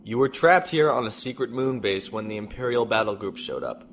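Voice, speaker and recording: Man acting a measured, decisive starship captain. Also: on the low side, a little hiss or hum, natural voice. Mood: analytical. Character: male starship captain, calm, decisive, shrewd tactician. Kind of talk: science fiction audio drama acting.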